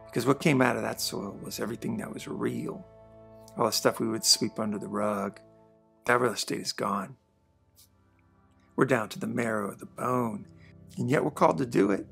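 A man in his forties giving an earnest, conversational monologue to camera about faith, shifting between emphatic bursts and quiet asides. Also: medium pitch, creaky. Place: dark studio backdrop, indoors.

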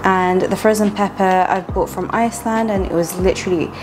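A woman's voice: medium pitch, fairly even intonation, brisk, rough recording. A woman, chatting casually as she talks viewers through a home cooking recipe step by step. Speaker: cooking vlogger. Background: background music.

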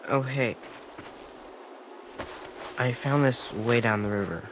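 A man voicing a timid, guilt-ridden gentle young boy in a video game, hesitant and apologetic. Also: mid-range voice, takes it slowly, background noise. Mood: sad, subdued. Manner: hesitant, soft-spoken, apologetic. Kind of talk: naturalistic video game dialogue acting. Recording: clear outdoor stream ambience.

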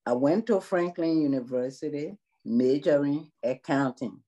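A woman's voice: deep voice, mellow. A middle-aged woman from Liberia with a Liberian accent, a long-term care worker speaking earnestly in a video-call interview. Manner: slow, earnest, measured. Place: small room indoors.

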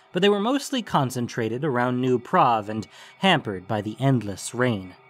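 A man reads scripted fantasy fiction as the narrator. His voice sits at a medium pitch, with faint music underneath.

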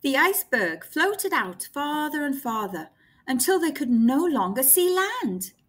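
A woman narrates a picture book for small children. Her voice is high and very expressive in pitch, yet she keeps a calm, unhurried pace. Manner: calm, deliberate storyteller for young children. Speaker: children's storybook reader. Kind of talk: read-aloud story narration.

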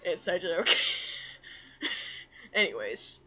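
A woman's voice: on the low side, very animated, takes it slowly, bright, forceful tone. A young woman improvising a comedic cartoon fan dub in a giggly amateur way, often slipping out of character. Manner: giggly, swearing, breaks out of character.

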